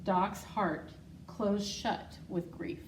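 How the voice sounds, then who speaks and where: A woman reading a children's picture book aloud with measured, deliberate phrasing. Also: medium pitch, fairly even intonation. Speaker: school secretary, guest storybook reader. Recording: roomy, distant mic, faint noise.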